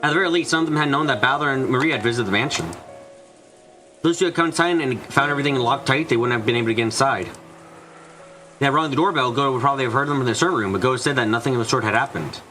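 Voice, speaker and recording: A man's voice: mid-range voice, fast talker, sharp tone, soft background music. A man narrating a mystery visual novel from a script as a fan dubber.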